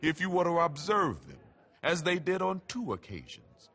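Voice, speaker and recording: A man giving a measured, grave, oratorical reading of a written letter. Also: fairly high pitch, wildly swooping pitch, fairly bright tone.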